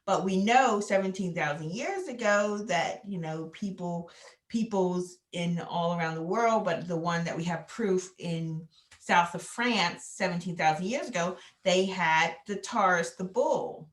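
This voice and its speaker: A woman's voice: on the low side, unhurried, reverberant. A woman astrology consultant talking in a casual, rambling way, full of "you know" fillers. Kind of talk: casual video call chat.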